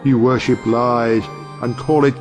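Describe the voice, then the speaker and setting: An actor playing a wry, provocative middle-aged judge and uncle in an audio play, speaking in a rhetorical, oratorical way. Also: male voice, medium pitch, steady tone, measured pace, lightly acted. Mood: impassioned, scornful. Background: music.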